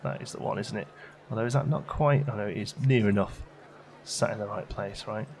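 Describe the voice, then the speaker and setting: Low, creaky man musing aloud. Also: a little fast, darkish tone, a little hiss or hum. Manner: musing aloud, chatty, self-questioning. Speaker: let's play host. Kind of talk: casual gameplay commentary.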